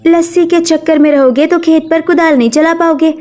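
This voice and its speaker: Woman as a high-voiced scolding mother-in-law. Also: steady tone, fairly quick, darkish tone. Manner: blunt, scolding.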